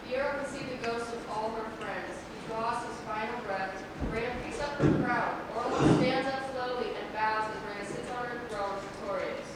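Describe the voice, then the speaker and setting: A woman with a slightly high voice narrates scripted stage directions. She is far from the microphone in a very echoey hall, with loud noise around her. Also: normal speed, few pauses. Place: large echoing room, staged reading.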